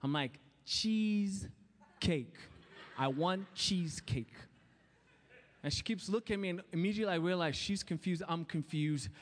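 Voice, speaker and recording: A man with a Kenyan accent preaching in church, telling a personal story with lively acted-out voices. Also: high voice, unhurried. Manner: animated storytelling, acting out voices. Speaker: guest preacher. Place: church sanctuary.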